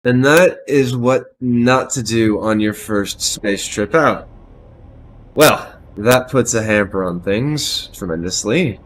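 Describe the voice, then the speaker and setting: A man in his thirties chatting casually on a live stream from a small room at home, loud-voiced, loose and self-deprecating, with frequent swearing, on a headset mic. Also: on the low side, slow pace, low-quality recording. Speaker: game streamer.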